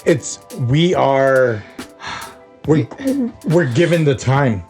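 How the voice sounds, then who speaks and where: A man co-hosting a podcast in relaxed casual chat, often repeating words as he starts a thought. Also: mid-range voice, drawn-out words, soft background music. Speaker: podcast co-host.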